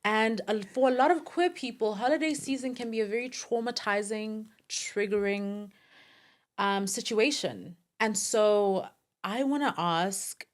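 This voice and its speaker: A woman's voice: medium pitch, unhurried. A woman with a South African accent hosting a casual interview, earnest and supportive as she talks. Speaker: podcast host.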